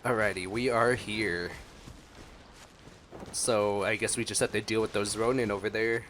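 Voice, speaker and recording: A man's voice: lowish voice, fairly even intonation, moderate pace, fairly bright tone, a little hiss or hum. A man giving casual, jokey reaction commentary as a gaming streamer. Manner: jokey, playful. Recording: game music behind the voice.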